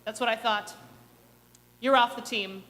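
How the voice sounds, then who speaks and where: A woman voices a strict wrestling coach, high and bright, scolding in a harsh way. A long hall reverb trails each line. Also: steady tone, unhurried. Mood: furious.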